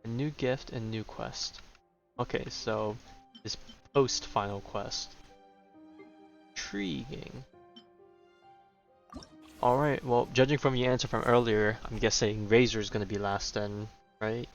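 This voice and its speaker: A man's voice: medium pitch, fairly even intonation, slow pace, creaky, long pauses, faint music behind. A man giving casual gameplay commentary as a streamer, musing aloud, on a dull, lo-fi microphone. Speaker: game streamer.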